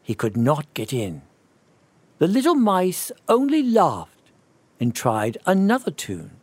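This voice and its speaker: Casting: male narrator for children's classics, animated and expressive, taking his time over each phrase. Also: fairly high pitch, breathy edge, no echo. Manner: expressive, measured storytelling. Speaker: storyteller. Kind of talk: scripted children's storybook narration.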